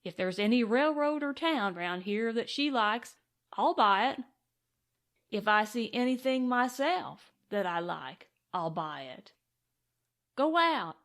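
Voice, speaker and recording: A woman voicing an excited, grateful cowboy gold prospector in an audiobook western, with folksy, boastful talk. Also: on the high side, full character voice.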